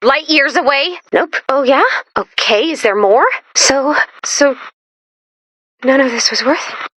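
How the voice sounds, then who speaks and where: A woman voicing a quirky young female robot helper in a video game, chipper and expressive with sarcastic asides. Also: high voice, unhurried, dry recording. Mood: pleading, exasperated, then dejected. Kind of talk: animated video game character voice-over.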